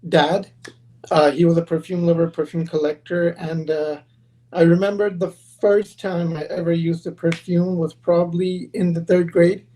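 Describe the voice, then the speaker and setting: 30s guy from pakistan and la on a video call, laid back and friendly, soft dark voice, just chatting his story. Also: fairly high pitch, flat delivery, moderate pace, rough recording. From Pakistan and Los Angeles. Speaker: guest, perfume brand founder. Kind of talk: relaxed interview answers.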